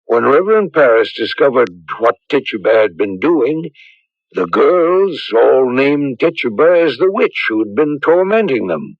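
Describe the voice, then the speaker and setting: A thoughtful priest in a radio play, grave and deliberate, with a gravelly edge and expressive swings of pitch. The old broadcast sound is dry and muffled. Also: male voice, no room sound. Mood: solemn, intent. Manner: measured, probing, deliberate. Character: grave, thoughtful male priest counselling a young man. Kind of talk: radio drama acting.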